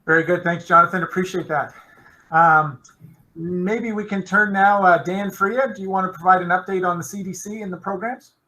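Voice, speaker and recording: A woman's voice: on the low side, steady tone, moderate pace, sharp tone, rough recording. A woman chairing an informal conference call and handing over to speakers. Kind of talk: informal meeting moderation. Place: group video call.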